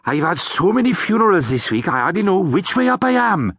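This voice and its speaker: Man doing a high, harried banshee woman. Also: big pitch swings, brisk, a bit bright, dry recording, studio quality, exaggerated voice.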